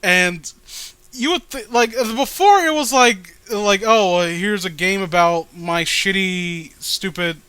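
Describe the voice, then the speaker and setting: Male commentator, bright crisp voice, sarcastic and profane, casual mocking read-along style. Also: high voice, slow, no echo, low-quality recording. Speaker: host.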